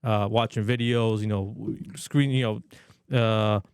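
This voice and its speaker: A man's voice: on the low side, fairly even intonation, measured pace, a little mellow, clean tone, no echo, studio quality. Rambling Polish-native podcast man. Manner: rambling, scattered, lots of fillers and restarts. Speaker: podcast co-host. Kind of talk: casual chat.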